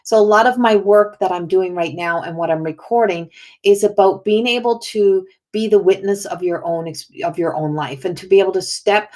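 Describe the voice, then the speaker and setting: A woman's voice: medium pitch, normal speed, a little mellow. A woman talks through a casual livestream, her voice strained and worn. She rambles in a chatty way, greeting viewers by name as she reads oracle cards. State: strained, failing voice. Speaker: oracle card reader and spiritual healer.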